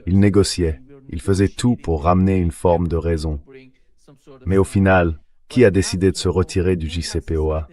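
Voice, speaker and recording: A man reading a translated voice-over of political analysis in a measured, explanatory way. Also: deep voice, steady tone, a little mellow, natural voice.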